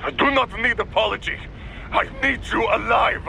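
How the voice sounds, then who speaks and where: Sharp, strained, desperate militant. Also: male voice, high-pitched, gravelly, a little hiss or hum, heavily acted. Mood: anguished pleading. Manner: pleading, urgent, halting. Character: desperate, devoted male militant at a deathbed. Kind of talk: video game voice acting.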